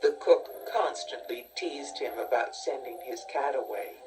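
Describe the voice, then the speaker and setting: A woman narrates a children's storybook at a very unhurried pace. Her voice sits a little low, with measured, expressive phrasing. It sounds like a slightly distant cassette recording, with music clearly playing behind her.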